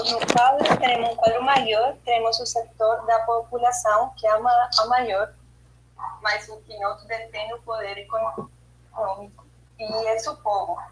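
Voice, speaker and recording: Woman on a video call, somewhat high voice, hesitant presenter with fillers. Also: slight background noise, poor audio. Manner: careful, hesitant, with many fillers and restarts. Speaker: study group presenter. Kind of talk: online study group presentation.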